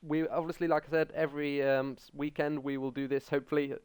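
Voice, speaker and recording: Smooth-voiced young man, hesitant. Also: fairly high pitch, level tone, quick pace, a little mellow. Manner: hesitant, full of ums. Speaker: podcast host. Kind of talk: casual tech chat.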